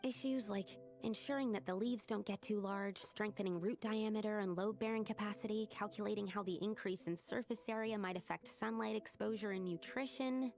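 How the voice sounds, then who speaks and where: A woman's voice: medium pitch, fairly quick, gravelly, few pauses, a little mellow, faint music behind, natural voice. A woman voicing a studious, earnest young female alchemist who explains things precisely in a video game. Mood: earnest, explanatory.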